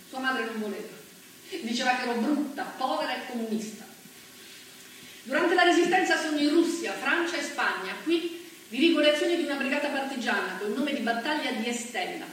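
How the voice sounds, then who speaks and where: A woman's voice: fairly high pitch, a bit bright. An actress playing a fierce, proud working-class partisan woman, telling her story emphatically in a stage monologue. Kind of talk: theatrical monologue, dramatic first-person storytelling. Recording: very echoey, distant stage recording, faint noise.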